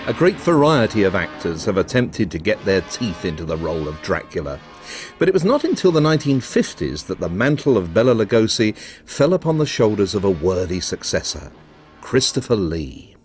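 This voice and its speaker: Brief: wry male documentary narrator, lowish husky voice, faint music behind. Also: sing-song. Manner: wry, measured storytelling. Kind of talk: scripted radio narration.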